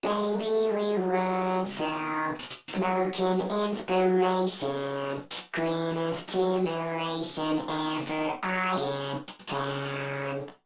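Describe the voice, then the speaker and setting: A woman singing a pop phrase with a robotic, pitch-corrected vocal effect. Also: steady tone, drawn-out words, over loud music, poor audio. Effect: robot voice processing with pitch correction. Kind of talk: sung pop vocal, repeated looped phrase.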